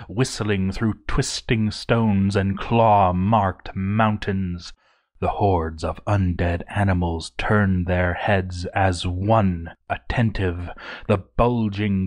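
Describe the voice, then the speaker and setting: A man narrating a dark fantasy story in a theatrical, ominous manner with deliberate phrasing. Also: fairly low pitch. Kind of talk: dramatic scripted story narration.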